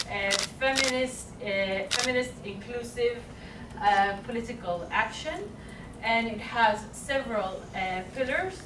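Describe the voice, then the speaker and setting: A woman presenting at a conference panel, speaking haltingly with many fillers and restarts. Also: a little high. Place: conference hall. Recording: echoey room, far mic, noisy.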